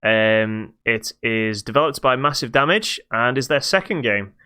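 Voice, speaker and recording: Male co-host, crisp and smooth, bright delivery, wry, unscripted games review chat. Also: mid-range voice, unhurried.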